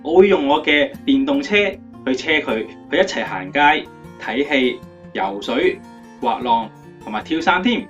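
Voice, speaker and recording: An actor playing a cheerful, romantic young motivational speaker in a radio drama interview, playful and emphatic. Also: male voice, mid-range voice, expressive, a little slow, fairly bright tone, recorded from a distance, highs cut off. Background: guitar music.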